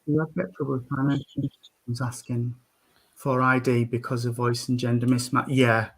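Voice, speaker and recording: A man, a trustee, talking slowly and hesitantly in a casual group chat, with many ums and restarts. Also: mid-range voice, level tone, slightly rough, low-quality recording. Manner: slow, hesitant, with many fillers. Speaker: trustee on a panel.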